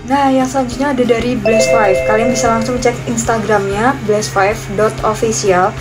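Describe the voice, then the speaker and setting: A woman's voice: fairly high pitch, poor audio. A young woman from Indonesia giving casual, chatty audition tips straight to her viewers. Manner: chatty, addresses viewers directly. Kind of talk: casual tutorial vlog. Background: music.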